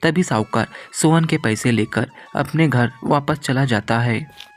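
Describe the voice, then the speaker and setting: A man's voice: medium pitch, a little slow, faint music behind. A man narrating a scripted children's moral story as the storyteller.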